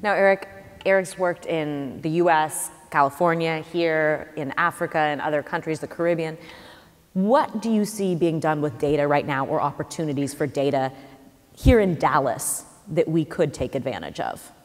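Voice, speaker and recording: A woman with a notably low voice moderates a panel, asking questions of the speakers. Her voice carries the long reverb of a large theater. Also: normal speed. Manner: inquisitive, posing questions.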